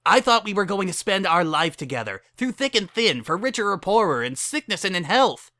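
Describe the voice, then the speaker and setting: A woman's voice: medium pitch, very animated, brisk, a bit bright, no echo, clean studio sound, voice pushed up. A woman voicing an angry, heartbroken young female pony in a dramatic story reading, impassioned and pleading. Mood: hurt, angry.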